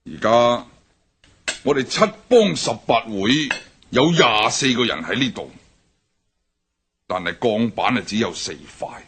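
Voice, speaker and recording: An actor playing a stern older triad boss in a radio drama, speaking with a Hong Kong Cantonese accent and a raspy, commanding manner. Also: male voice, mid-range voice, lively intonation, measured pace, pauses often, a bit bright, a little hiss or hum. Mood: grave. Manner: commanding, barks orders. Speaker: gang leader.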